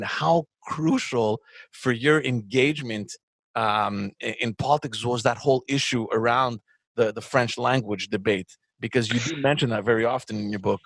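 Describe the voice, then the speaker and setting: A man's voice: medium pitch, a bit bright, dry recording. Man host asking earnest questions. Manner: earnest, searching questions with restarts. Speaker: podcast host. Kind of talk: interview questions.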